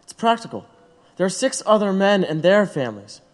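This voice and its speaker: A man's voice: sits high, sing-song, a little fast. A man playing a principled, earnest condemned American prisoner who stands firm in an audio drama. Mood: resolute. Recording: reverberant room.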